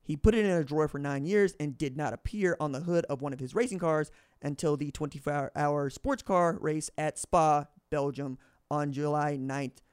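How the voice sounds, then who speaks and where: A man's voice: fairly high pitch, moderate pace, a little mellow, clear, resonant tone, no echo. Dry-voiced male podcast host reading aloud. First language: English. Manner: stumbles and corrects himself mid-sentence. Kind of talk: reading a book aloud with personal commentary.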